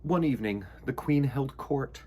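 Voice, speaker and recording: A man reading a children's picture book aloud in an expressive, theatrical storytelling manner. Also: medium pitch, normal speed, slight background noise.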